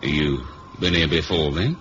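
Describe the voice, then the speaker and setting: A noisy, dull old radio drama recording carries a very low male voice. It is a cool British secret agent, dry and plain-spoken. The delivery is colloquial and wry. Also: unhurried, fairly bright tone. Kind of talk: 1970s radio drama acting and first-person narration.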